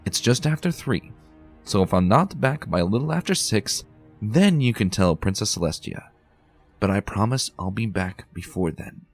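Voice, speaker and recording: A man's voice: lowish voice, expressive, moderate pace, husky, faint music behind. A man voicing a bookish, determined young female unicorn pony in a fan reading, earnest and anxious. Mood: reassuring.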